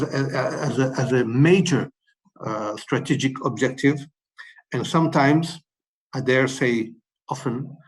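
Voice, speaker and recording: A man from Morocco speaking as a webinar panelist, setting out his points in a measured way with many fillers and restarts. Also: medium pitch, rough recording. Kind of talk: policy talk, numbered key messages.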